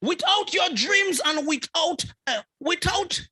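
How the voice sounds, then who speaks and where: Male preacher, high, very animated voice, slow measured delivery, builds with repetition. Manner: preaching with rising fervour, repeating phrases. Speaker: bishop, guest preacher. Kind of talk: sermon.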